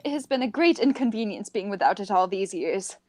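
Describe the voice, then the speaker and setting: A woman's voice: mid-range voice, animated, a little fast, a little mellow, no room sound, clean studio sound, lightly acted. A woman playing a prim, fussy older governess in an amateur radio comedy, precise in her wording. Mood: prim, nostalgic.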